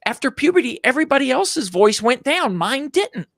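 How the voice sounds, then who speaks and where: A man with a high voice for a man, telling stories as an interview guest with emphatic, animated delivery and frequent restarts. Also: normal speed, dry recording, clean studio sound. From United States. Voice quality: higher-pitched male voice. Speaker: podcast guest. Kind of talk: enthusiastic interview storytelling.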